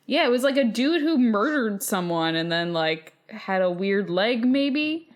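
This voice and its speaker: Woman podcaster, regular mid pitch, chatty n informal, says like a lot, reads emails out. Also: very animated, a little slow. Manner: informal, slangy, peppers talk with "like". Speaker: podcast co-host. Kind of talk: casual chat and reading listener emails aloud.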